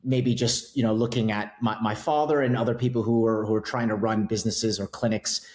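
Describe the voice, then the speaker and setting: A man's voice: lowish voice, steady tone, brisk, a little mellow. A middle-aged man with a Canadian accent, a finance executive speaking casually as a podcast guest, with many fillers and a self-deprecating tone. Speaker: guest CFO. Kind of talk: podcast interview.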